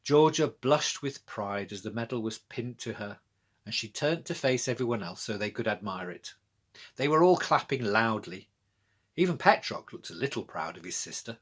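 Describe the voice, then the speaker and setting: A man's voice: on the low side, lively intonation, normal speed, a bit bright, slightly rough. A British man narrating a scripted children's storybook as its author, reading aloud.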